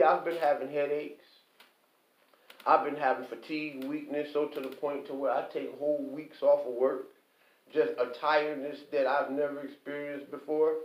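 High-voiced man ranting in an echoey room. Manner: emphatic, repetitive, keeps asking "okay?"; preachy, quotes scripture. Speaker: online commentator. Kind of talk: impassioned monologue. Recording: echoey, distant, dull lo-fi.

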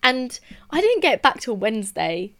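A woman's voice: fairly high pitch, sing-song, normal speed, a bit bright. A young woman, a podcast co-host, chatting casually and telling a story with lots of "like" fillers. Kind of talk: casual chat.

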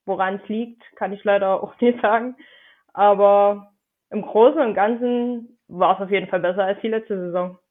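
A woman's voice: medium pitch, steady tone, normal speed. A woman from Dresden with a light Saxon accent, chatting as a podcast guest in a friendly, hesitant way with many fillers. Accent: Saxon German. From Dresden, Germany. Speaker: podcast guest, football fan. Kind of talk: casual podcast chat. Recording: tinny phone-like line.

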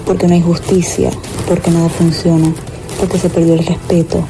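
A woman's voice: lowish voice, completely flat delivery, moderate pace, background noise. A woman from Venezuela with a Maracaibo accent, giving an emphatic personal testimony and repeating her phrases. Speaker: interviewee. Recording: voice over music bed.

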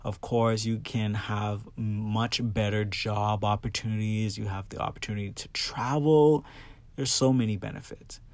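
A man's voice: fairly low pitch, fairly even intonation, a little slow, no room sound. A man from the Bahamas hosting a solo podcast, talking casually and emphatically and often checking in with his listeners. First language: English. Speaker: podcast host. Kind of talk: personal solo podcast talk, casual and conversational.

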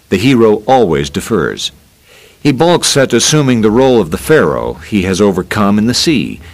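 A man narrates a formal academic essay in a fairly low voice. His intonation moves widely and expressively, while his delivery stays measured and scripted. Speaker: reader of a scholarly talk.

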